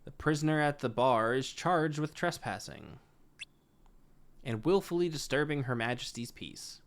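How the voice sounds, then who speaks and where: Man as a loud, officious royal herald. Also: medium pitch, animated, moderate pace, voice pushed up, lightly acted. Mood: formal. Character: officious royal court herald announcing a trial.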